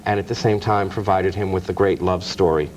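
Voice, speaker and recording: Guy with a lowish voice rattling off film facts fast, faint hiss behind. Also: steady tone, darkish tone, poor audio. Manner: knowledgeable, detailed explanations with small restarts. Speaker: film author guest. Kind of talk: interview talk. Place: TV studio.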